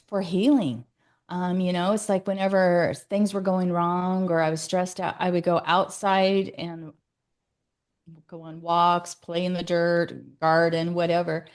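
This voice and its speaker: Chatty woman hosting a video call. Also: lowish voice. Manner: chatty, many fillers and restarts. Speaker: host. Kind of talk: casual chat.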